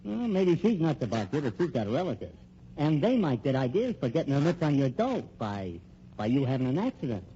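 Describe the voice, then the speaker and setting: A man's voice: mid-range voice, very animated, brisk, mellow. An actor playing a slick, menacing American private detective and con man in an old radio crime drama, wisecracking and pushy in tough-guy slang. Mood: sly. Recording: dull old radio recording, faint noise.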